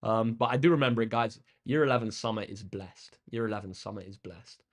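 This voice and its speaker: A young English man hosting a maths livestream, chatting casually with viewers in a relaxed way and using lots of ums and uhs. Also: on the low side, fairly quick. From England. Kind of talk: casual chat answering live comments. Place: indoor room facing a big window.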